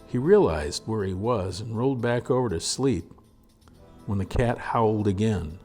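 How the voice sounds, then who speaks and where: A man reading a story aloud as narrator in a measured, dramatic storytelling manner. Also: on the low side, lively intonation, mellow, husky, faint music behind. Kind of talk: scripted audiobook narration.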